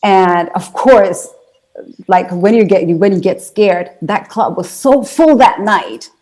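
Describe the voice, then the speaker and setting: A woman from Ethiopia, with Amharic as her first language, talks through her story as an interview guest. Her tone is mellow and dark, and she tells it in a loose, chatty way, restarting phrases and dropping in fillers. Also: mid-range voice, lively intonation, slow, low-quality recording. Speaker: interview guest, singer and speaker. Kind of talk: conversational interview.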